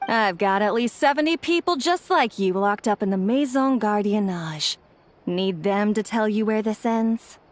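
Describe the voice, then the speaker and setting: A woman's voice: mid-range voice, a little slow, natural voice. A woman playing a confident woman in a game cutscene. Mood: firm.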